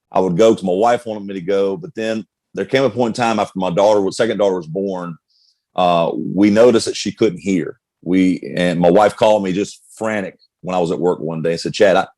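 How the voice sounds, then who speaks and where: Middle-aged male pastor, low pitch, dry close sound, candid testimony style. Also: darkish tone, no room sound. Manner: earnest, candid storyteller with many restarts. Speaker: pastor, guest. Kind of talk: personal testimony in conversation.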